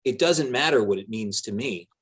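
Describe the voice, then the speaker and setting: An evasive male politician under questioning, acted out by a man in a dramatic reading. He is deliberate and pedantic, splitting hairs over every word. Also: on the low side, animated, breathy edge. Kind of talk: dramatic reading of a deposition transcript.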